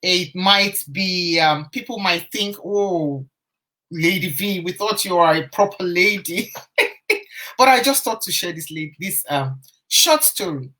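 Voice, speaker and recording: Woman, bright voice, chatty live-stream storyteller, greets viewers, restarts phrases. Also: lowish voice, animated, measured pace. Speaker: confidence coach. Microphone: phone or webcam on a live stream.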